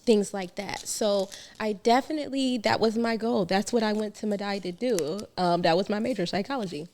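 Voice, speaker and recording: A woman in her thirties, originally from Trinidad, talks as a podcast guest at a mid-range pitch. Her manner is relaxed and candid, reflective as she speaks, with easy laughter between thoughts. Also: normal speed. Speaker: guest, business founder. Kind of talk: casual podcast interview.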